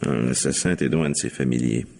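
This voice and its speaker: Deep, reverberant police investigator. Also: male voice, quick pace, gravelly, a little mellow, heavily acted. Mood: sceptical probing. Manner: interrogating, sceptical, insistent. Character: probing, sceptical male police investigator, insistent and wry. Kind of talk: old French radio mystery acting. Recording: long hall-like reverb.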